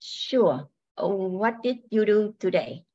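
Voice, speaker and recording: Through a slightly muffled recording comes a robot wife played by an older woman in an amateur radio play. She talks very slowly, with mechanical courtesy. Her manner is measured and coolly calculating, even as she apologises. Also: medium pitch. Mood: mildly curious. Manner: robotic, measured, matter-of-fact. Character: tall female robot wife, polite, coldly calculating. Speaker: amateur radio drama actor. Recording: dull, muffled lo-fi sound.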